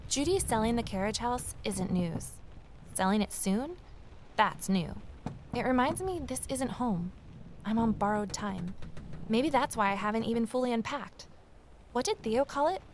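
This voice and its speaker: A woman's voice: on the high side, lively intonation, measured pace, a little hiss or hum. A woman playing a wry, uneasy young journalist who narrates a mystery audio drama in a confiding way. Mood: brooding. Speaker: lead character and narrator.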